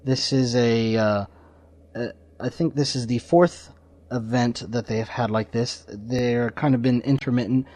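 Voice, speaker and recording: A man's voice: mid-range voice, unhurried, darkish tone. A man hosting a podcast, giving casual introductions in an informal, slightly rambling way. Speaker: podcast host. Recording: slightly dull lo-fi audio.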